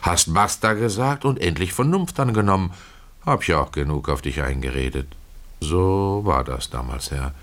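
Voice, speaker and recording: Casting: poor pious tailor, low-pitched, plain-spoken, folksy and brooding, confiding storyteller for radio drama. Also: male voice, expressive, moderate pace, a bit bright. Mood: pitying. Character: humble poor Russian tailor, plain-spoken, pious, retelling his regrets. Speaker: narrator of a literary radio play. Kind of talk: dramatic first-person storytelling.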